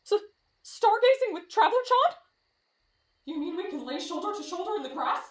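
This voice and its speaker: A woman's voice: extremely high pitch, expressive, fast talker, fairly bright tone. A woman voicing a timid, lovestruck teenage boy in an anime-style roleplay drama, with a dramatic, whiny delivery that swings between fretful and gushing. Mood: giddy, lovestruck. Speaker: voice actor in roleplay audio.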